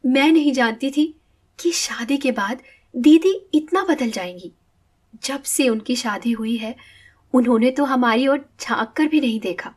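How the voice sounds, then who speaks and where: A woman's voice: sits high, slightly rough, full character voice. A woman playing an upset, caring younger sister in a Hindi moral story, speaking earnestly and emphatically.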